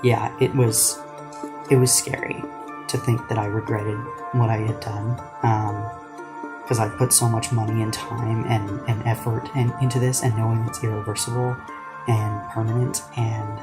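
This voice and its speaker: A man's voice: lowish voice, little pitch movement, normal speed, loud music behind the voice. A man giving regretful, hesitant personal testimony in an interview.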